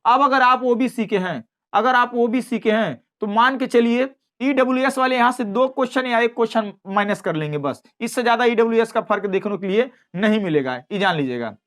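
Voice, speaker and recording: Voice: medium pitch, a bit bright. An exam-prep teacher giving an informal explainer, speaking emphatically and repeating key phrases with assuring tags. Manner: repetitive, emphatic, with recurring assuring tag phrases.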